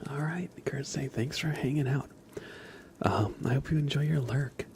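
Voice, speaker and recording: A man hosting an ASMR livestream, speaking softly and breathily in a gentle, soothing, unhurried way, often slipping into a whisper. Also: mid-range voice, background noise. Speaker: livestream host. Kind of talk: ASMR livestream, chatting to viewers.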